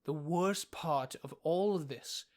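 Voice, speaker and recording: A man playing a learned, easily exasperated doctor, narrating a fantasy audio drama in a measured, earnest way. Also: fairly high pitch. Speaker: narrator and lead character.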